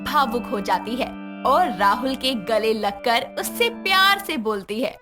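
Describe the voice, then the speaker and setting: A woman delivers scripted story narration. Her voice is high and very melodic, moving freely up and down over clear music. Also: animated, unhurried.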